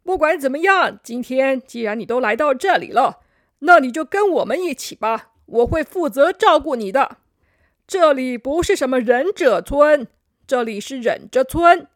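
A woman voicing a patient old male wizard in a children's story, gentle and explaining. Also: high voice, expressive, moderate pace, no echo, studio quality. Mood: kindly.

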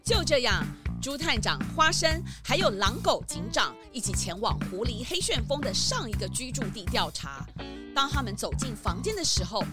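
A woman narrating a scripted children's story. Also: high voice. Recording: loud guitar music behind.

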